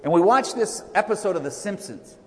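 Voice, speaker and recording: A loud, high-pitched middle-aged man preaching from a pulpit. His chatty, joking sermon rolls out into a big church hall, and a long echo trails after each line. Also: expressive, a little fast. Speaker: pastor. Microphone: pulpit microphone.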